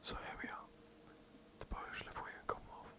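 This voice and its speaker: A young adult with an English accent, whispering a casual, rambling history overview for ASMR. The talk is loose and self-mocking, with swearing and asides. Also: a little fast. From England. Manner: casual, rambling, swears, giggles at own slips. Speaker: ASMR creator. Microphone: poor quality home mic.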